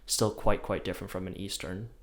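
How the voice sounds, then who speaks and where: Young adult male host, lowish pitch, easygoing conversational delivery. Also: fairly quick. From China. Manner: relaxed, conversational, rhetorical "right?". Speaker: podcast host. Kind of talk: casual podcast chat.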